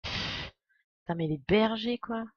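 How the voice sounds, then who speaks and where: A woman's voice: mid-range voice, measured pace, breathy edge, dry recording, highs cut off. A woman giving casual, chatty, jokey live commentary as a game streamer.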